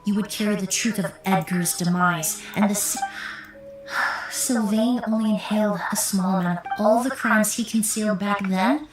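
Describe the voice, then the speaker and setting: An actress playing a calm, earnest young woman perfumer in a fantasy game, speaking in a measured, articulate way. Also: mid-range voice, roomy sound, close to an everyday voice, poor audio. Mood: resolute. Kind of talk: scripted voice acting in a fantasy game. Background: game music.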